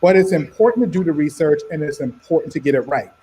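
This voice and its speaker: A comics creator on a panel who talks in a relaxed way, laughs often and keeps checking in with "right?". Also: male voice, on the high side, fast talker, slight background noise. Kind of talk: casual panel discussion.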